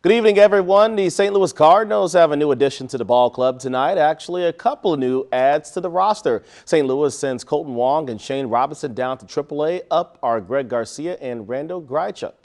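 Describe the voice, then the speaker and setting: A man reading a scripted sports newscast with highlight commentary. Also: a little fast, few pauses, a little mellow.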